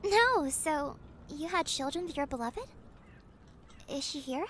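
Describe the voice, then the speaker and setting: A woman playing a gentle, welcoming caretaker and old friend in an audio drama, soft-spoken and patient. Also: sits high, lively intonation, a little slow, a little hiss or hum. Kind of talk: cinematic audio roleplay acting.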